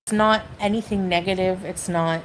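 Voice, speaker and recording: A woman's voice: medium pitch, fairly even intonation, normal speed, slight background noise, low-quality recording. A woman in a replayed video speaking hesitantly about her relationship, full of fillers and restarts. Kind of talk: personal confessional monologue.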